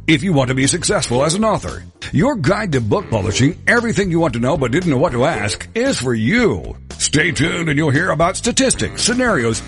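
A man's voice: a little high, fairly bright tone. A man reading a scripted promotional announcement as a show announcer. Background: music.

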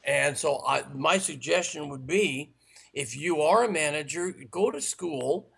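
Man with a tired gravelly voice. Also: fairly high pitch, unhurried, a bit bright. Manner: earnest, instructive, listing points. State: raspy, tired voice. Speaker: sales trainer guest. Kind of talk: interview answers, advice.

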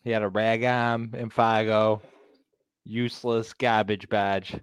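A man's voice: lowish voice, little pitch movement, slow pace, clear, resonant tone, dry recording. A man, the show's producer, in laid-back, joking podcast banter. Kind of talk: casual podcast chat.

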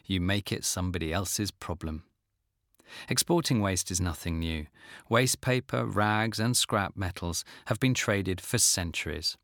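A man with a fairly low voice reads scripted nonfiction. His delivery is lucid, measured and matter-of-fact, and the recording is dry and close. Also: a bit bright, dry recording. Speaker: audiobook narrator.

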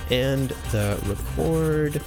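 A man's voice: medium pitch, drawn-out words. A man acting a pensive enchanter archivist who thinks aloud in a fantasy audio drama. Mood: musing. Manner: musing to himself, thinking aloud. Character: pensive male enchanter archivist, muttering over his experiments. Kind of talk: audio drama monologue, scripted credits. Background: music.

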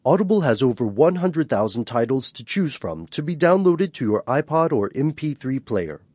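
A male announcer reads a scripted sponsor spot. His voice is rough-edged and husky with very lively intonation, recorded close and dry. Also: mid-range voice, moderate pace, dry recording. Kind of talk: scripted ad read.

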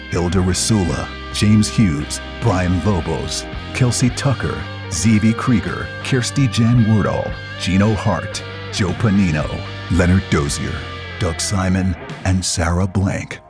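Low-voiced man announcing credits. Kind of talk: scripted credits narration. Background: plucked guitar music.

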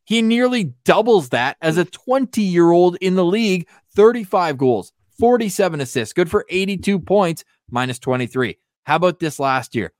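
Higher-voiced man hosting a hockey podcast. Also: lively intonation, normal speed, few pauses, dry recording, clean studio sound. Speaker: sports podcast host. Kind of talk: lively hockey talk with stats.

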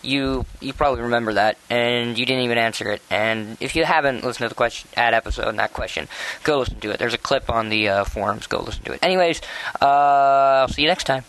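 Man, listener voice message, sharp bright tone, medium pitch, rambling, casual. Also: quick pace, rough recording. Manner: rambling, hesitant. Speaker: listener sending recorded question.

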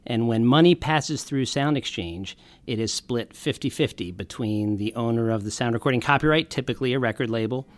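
A man who leads a nonprofit explains its work in a friendly, clear way as an interview guest. His voice sits at a middle pitch. The recording is close and dry. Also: fairly quick, dry recording. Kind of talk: interview answers.

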